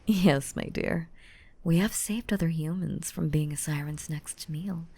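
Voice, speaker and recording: A woman voicing a gentle, nurturing mermaid in a roleplay ASMR audio drama, soothing and doting with a breathy, whispery delivery. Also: low-pitched, measured pace, full character voice. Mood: calm, reassuring. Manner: soothing, doting, uses pet names. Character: gentle, nurturing mermaid rescuing a drowning human.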